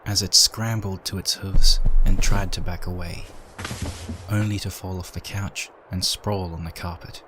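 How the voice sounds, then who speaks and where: A man reading a dark story aloud in a measured, dramatic narration. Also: low voice, level tone, noisy background.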